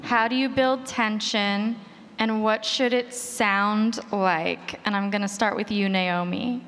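A woman's voice: mid-range voice, steady tone, slow pace, fairly bright tone. A woman moderating a live panel, putting questions to the guests in turn. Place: large room at a live conference panel. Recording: reverberant hall, faint room noise.

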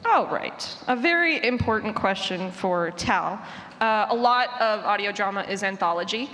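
A woman's voice: mid-range voice, expressive, moderate pace, a bit bright. A woman speaking off the cuff as a panel moderator, putting questions to the guests. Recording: echoey hall, background noise.

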